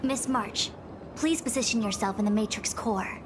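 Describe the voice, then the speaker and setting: A woman playing a composed, scholarly young master diviner in a video game, speaking formally in measured, articulate phrases. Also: fairly high pitch, background noise, lightly acted. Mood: formal, inquiring. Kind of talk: scripted video game dialogue. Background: background music.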